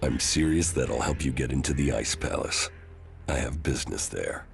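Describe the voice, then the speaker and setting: An actor playing a stoic, terse ex-commander in a video game, with a gravelly, deliberate delivery. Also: male voice, a bass voice, lively intonation, faint music behind, exaggerated voice. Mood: stern, determined. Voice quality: gravelly, creaky. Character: stoic deep-voiced male ex-commander, deserter turned war fighter. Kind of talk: dramatic game dialogue.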